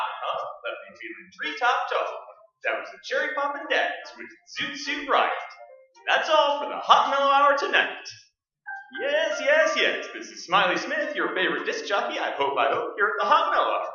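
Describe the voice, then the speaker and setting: A woman playing a brash, excitable male disc jockey with hammy, chummy showman patter in a staged old-time radio play. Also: high voice, normal speed, soft background music, exaggerated voice. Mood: upbeat patter. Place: live stage performance. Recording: roomy echoing stage, distant dull mics.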